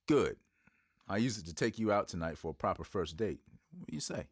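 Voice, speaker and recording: A man's voice: low voice, very animated, fairly quick, husky, no room sound, studio quality, heavily acted. A man playing a nervous, nerdy young banker who turns gushing and eager. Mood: bossy. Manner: flustered, then gushing.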